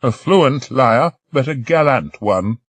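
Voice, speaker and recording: A man's voice: medium pitch, lively intonation, no echo, voice pushed up. A man playing a spry, teasing old lady. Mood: brisk teasing. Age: older. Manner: brisk, sharp-tongued, amused. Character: spry, teasing elderly woman, grand old stage star.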